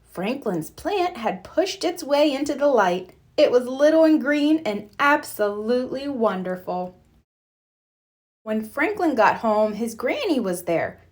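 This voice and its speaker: Expressive woman reading a storybook aloud. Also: on the high side. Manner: slow, gentle, expressive. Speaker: children's book reader. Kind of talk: read-aloud storybook narration.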